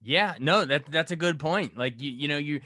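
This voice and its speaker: A man's voice: on the high side, normal speed, bright, forceful tone, dry recording, studio quality. A man hosting a casual, conversational interview as an entertainment reporter.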